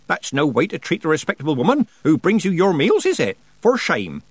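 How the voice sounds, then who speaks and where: Cheeky old country witch, male narrator acting her, bossy and folksy, raised pitch, very animated storybook delivery. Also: fairly quick, breathy edge, no room sound, full character voice. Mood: scolding. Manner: folksy, colloquial, teasing and scolding. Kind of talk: audiobook character dialogue.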